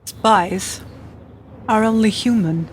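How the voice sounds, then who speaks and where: A woman playing a world-weary, seductive Eastern European spy in a radio play, speaking slowly and deliberately over background noise. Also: mid-range voice, natural voice. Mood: wry, cool.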